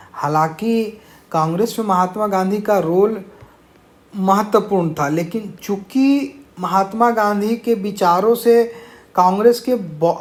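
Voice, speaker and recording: A man teaching an informal exam-prep lesson, explaining answers and mixing in English words. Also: high-pitched.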